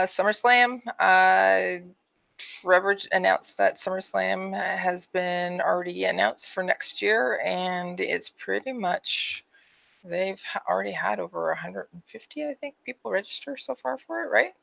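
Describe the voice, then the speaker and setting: A woman's voice: lowish voice, unhurried, creaky, fairly bright tone, no room sound. A woman with a Canadian accent co-hosting a podcast, chatting casually and reading out announcements. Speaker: podcast co-host.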